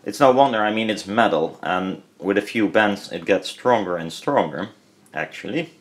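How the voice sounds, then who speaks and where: Low-pitched Dutch-accented man, rambling. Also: animated, moderate pace. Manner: hesitant, rambling, self-correcting. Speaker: hobbyist presenter. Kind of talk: casual hands-on product teardown commentary.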